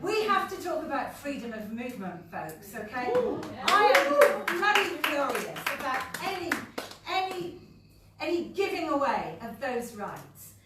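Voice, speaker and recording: Woman, impassioned political remarks, distant mic, clear background noise. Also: sits high, big pitch swings, measured pace. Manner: passionate, emphatic, repeating words. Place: meeting room at a conference fringe event. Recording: very echoey room, distant mic, noisy.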